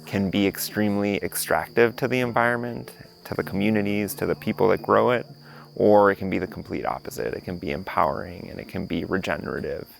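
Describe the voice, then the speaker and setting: A man speaks calmly and reflectively. His low voice has a gravelly fry running through it. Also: fairly quick, low-quality recording. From Honduras. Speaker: coffee industry interviewee. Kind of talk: documentary interview.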